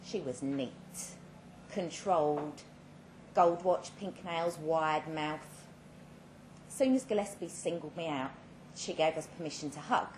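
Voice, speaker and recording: A woman giving a measured, dramatic reading of a first-person short story at a live event, with pointed pauses. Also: sits low, darkish tone. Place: indoor live literary event. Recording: roomy live venue, clear background noise.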